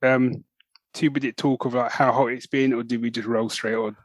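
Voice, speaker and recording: A man's voice: mid-range voice, flat delivery, normal speed, no echo. A middle-aged man, co-hosting a podcast in casual, matey, jokey banter. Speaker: podcast co-host.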